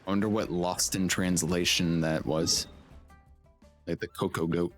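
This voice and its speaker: Male streamer, low voice, slow pace, casual offhand game commentary, music behind. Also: fairly even intonation.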